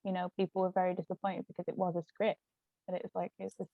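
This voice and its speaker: A woman's voice: on the low side, completely flat delivery, racing, gravelly. A woman chatting in a rambling way as a guest in a casual discussion. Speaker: guest author and actress. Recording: muffled lo-fi phone-like audio, roomy echo.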